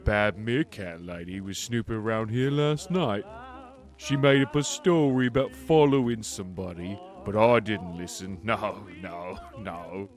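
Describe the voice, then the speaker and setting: A man voicing a big, friendly, slow-witted creature janitor with simple, halting speech in an audio drama. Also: lowish voice, soft background music, natural voice.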